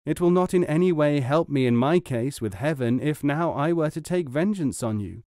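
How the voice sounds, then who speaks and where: A man's voice: fairly high pitch, animated, normal speed, warm tone, no echo, studio quality, voice pushed up, natural voice. A man voicing a sly young noble student in a storybook, speaking with earnest, pious politeness. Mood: kindly, pious. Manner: earnest, pleading, formally polite. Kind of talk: storybook character reading.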